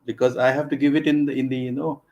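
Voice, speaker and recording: A man speaking as a podcast guest, hesitant and pausing often with fillers. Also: medium pitch, little pitch movement, mellow, muffled recording. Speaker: guest, doctor and musician. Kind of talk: casual interview chat.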